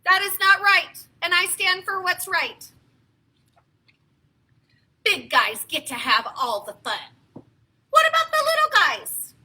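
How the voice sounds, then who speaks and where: A woman acts out a big, loyal storybook creature with a bright, forceful voice. The indignant would-be hero declaims every phrase emphatically. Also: very high-pitched, big pitch swings, takes it slowly, pauses often, pitched above the performer's own voice, full character voice.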